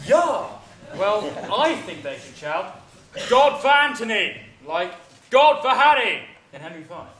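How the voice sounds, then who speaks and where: An actor playing a self-important amateur thespian, pompous, scornful and quick to argue. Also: male voice, a little high, big pitch swings. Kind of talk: acted comedy drama dialogue. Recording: echoey hall with people around.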